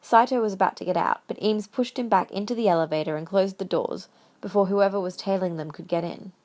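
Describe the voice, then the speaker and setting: A woman narrator tells a fan fiction story as an audio drama. Her voice sits at a medium pitch and she reads from a script in the third person. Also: a little fast, a little mellow.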